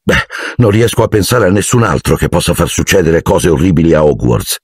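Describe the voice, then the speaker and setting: A man's voice: low-pitched, sing-song, a little fast, husky, dry recording, clean studio sound, heavily acted. A man voicing an earnest, bewildered and insistent young boy wizard in a storybook reading. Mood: baffled.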